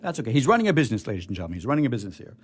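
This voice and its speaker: A man's voice: medium pitch, sing-song, racing, faint music behind. A man hosting a live radio theatre show in a casual, rambling, jokey, folksy way. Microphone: studio microphone.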